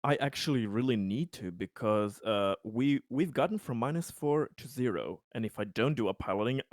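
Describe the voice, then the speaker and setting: A man's voice: mid-range voice, expressive, normal speed, dry recording, clean studio sound. A man chatting casually as a player in a tabletop game, talking through his tactics.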